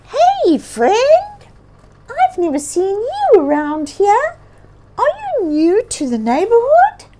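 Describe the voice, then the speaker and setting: High, chirpy cheerful little bird. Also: female voice, huge pitch swings, slow pace, mellow, slight background noise, full character voice. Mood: bright, curious. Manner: exclaiming, eager, friendly. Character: curious, cheerful small female bird friend.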